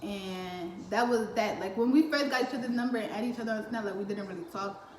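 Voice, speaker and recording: A woman's voice: mid-range voice, fairly quick. A teenage girl chatting with her cousins, telling a story with lots of laughter and acted-out quotes. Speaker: vlogger. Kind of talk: casual storytime chat. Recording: roomy echo, faint hiss.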